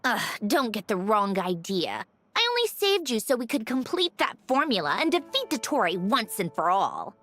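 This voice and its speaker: An actress playing a haughty, prickly young female genius in a video game, deflecting warmth with proud, dismissive talk. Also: on the high side, very animated, normal speed. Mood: proud, defensive.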